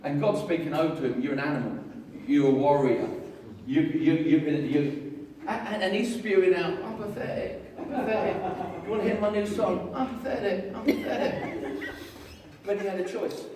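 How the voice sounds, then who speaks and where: A man preaching a lively, jokey sermon, telling a story with voices and getting the congregation to join in. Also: high voice, normal speed. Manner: jokey, animated storytelling with audience participation. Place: large hall with an audience. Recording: echoey hall, far from mic, crowd.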